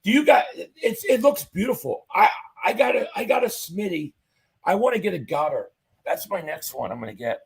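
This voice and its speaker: Man, livestream host, gravelly and hoarse from losing his voice, upbeat and chatty. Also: high voice, animated, normal speed. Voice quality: husky, fading voice. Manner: enthusiastic fan reading chat comments aloud. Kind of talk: casual live-chat commentary.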